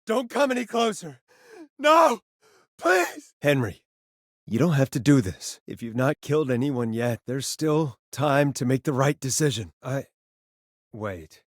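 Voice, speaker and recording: A man playing an earnest, rattled radio host in a video game, speaking in a halting, conversational way as he reasons with a killer. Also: medium pitch, sing-song, no echo, clean studio sound. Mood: frightened, pleading, then grave, piecing together. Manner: halting, stammering, conversational delivery. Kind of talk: dramatic video game voice acting.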